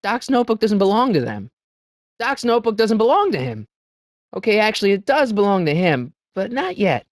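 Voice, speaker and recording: A man's voice: high-pitched, very animated, fairly quick, no room sound, studio quality. A male actor playing an earnest, wisecracking teenage boy in a video game, with a youthful voice and a hesitant, slangy delivery. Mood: wry, doubtful. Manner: hesitant, stammering asides, wry slangy delivery. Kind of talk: video game character lines.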